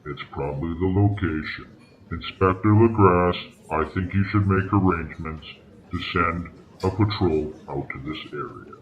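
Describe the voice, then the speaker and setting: A man voicing a big, strong talking bear, measured, stern and patiently exasperated, in an old-time radio drama. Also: low-pitched, phone-quality sound, natural voice. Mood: sensible, dry.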